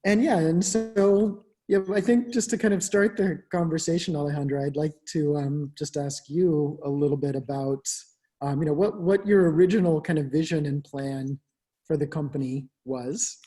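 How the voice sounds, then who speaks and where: Webinar host on a video call, soft mellow voice, easygoing questions. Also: mid-range voice, fairly even intonation, moderate pace. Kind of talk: webinar interview.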